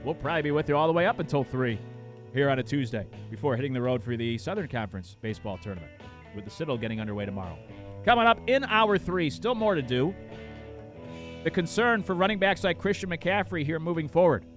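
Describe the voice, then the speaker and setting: A man's voice: fairly high pitch, lively intonation, fast talker, soft background music. A man hosting a sports talk radio show in a studio, talking casually with lots of fillers while he is losing his voice. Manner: casual, rambling, many fillers. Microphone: studio microphone.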